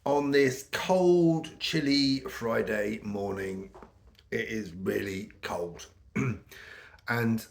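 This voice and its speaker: A middle-aged British man with a croaky voice from a cold, chatting casually to camera in a rambling way with many ums. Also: on the low side, drawn-out words. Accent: British English. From United Kingdom. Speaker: vlogger.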